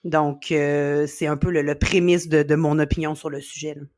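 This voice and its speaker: A woman's voice: low-pitched, little pitch movement, normal speed, darkish tone. A middle-aged woman from Quebec with a Québécois accent, a sleep consultant speaking earnestly in an interview, with many fillers. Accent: Québécois French. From Quebec, Canada. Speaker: sleep consultant and company founder. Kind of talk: podcast interview.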